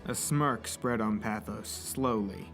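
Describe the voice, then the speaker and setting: A man's voice: lowish voice, slow, creaky, over music. A man reading scripted, dramatic story narration as the narrator of an audio drama.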